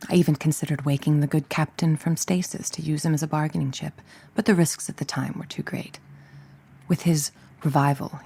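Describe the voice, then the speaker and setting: A woman's voice: deep voice, gravelly, darkish tone, breathy edge, exaggerated voice. An actress playing a cold, calculating female villain scientist in a video game, speaking with clipped, precise authority. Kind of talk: dramatic video game voice acting.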